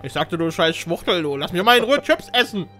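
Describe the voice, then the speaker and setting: An excitable gamer chatting casually while playing, given to shouting and squealing as co-host of a gaming video. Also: medium pitch, a little fast, faint music behind.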